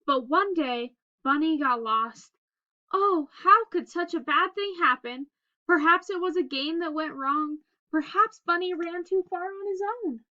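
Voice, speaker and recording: A woman with a high-pitched, bright voice reads a picture book aloud for young children. Her delivery is expressive and simply phrased, as a storyteller's. The recording is a little dull and lo-fi. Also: measured pace. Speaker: read-aloud host reading a picture book.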